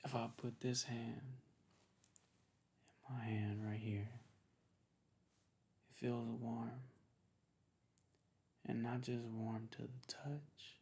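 A young man doing an intimate ASMR roleplay, talking softly and casually in a halting, laid-back way, with a breathy voice. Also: medium pitch, level tone. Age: young adult. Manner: hesitant, halting, laid-back pillow talk. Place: small bedroom, lying on a bed.

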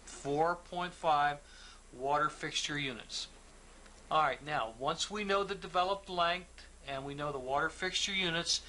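A man teaching a technical lesson, patient and methodical as he talks through each figure. His voice is bright and forceful at an ordinary pitch. A faint hiss sits under his voice. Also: poor audio. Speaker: plumbing instructor. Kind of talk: instructional walkthrough.

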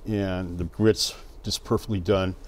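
A man's voice: sits low, fairly even intonation, measured pace, darkish tone, a little hiss or hum. An older man, a guest reviewer on a food show, praising dishes with short enthusiastic remarks. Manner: enthusiastic, brief. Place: restaurant set.

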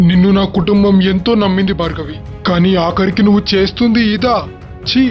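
Stern accusing family man in a story cartoon, played by a male actor, high pitch, dull recording. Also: fairly quick, faint music behind, lightly acted. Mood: indignant. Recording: dull, narrow-band voice track.

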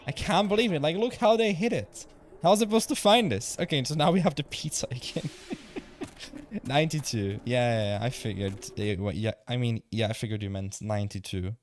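A man's voice: medium pitch, fairly quick, a little hiss or hum. Young man with Czech accent streaming. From Czech Republic. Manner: chatty, reads chat aloud, swears casually. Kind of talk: casual live-stream chat while gaming.

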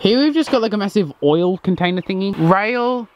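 A man's voice: high-pitched, lively intonation, fairly quick. A young man, giving a casual, jokey walkthrough while exploring old trains, recorded on a handheld camera outdoors and in a locomotive cab. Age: young adult. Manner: chatty, jokey, running play-by-play. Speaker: urban explorer vlogger. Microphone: handheld action camera. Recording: noisy outdoor camera audio.

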